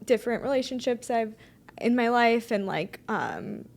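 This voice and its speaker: A woman's voice: on the high side, sing-song, normal speed, creaky, a little mellow. A young woman songwriter answering interview questions in a hesitant, casual way, with many ums and likes. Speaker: guest songwriter.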